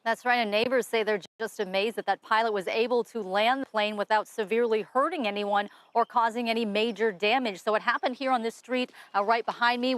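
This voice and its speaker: A woman's voice: on the high side, no echo. A woman with an American accent giving a live TV news report as a field reporter. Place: outdoors on a residential street.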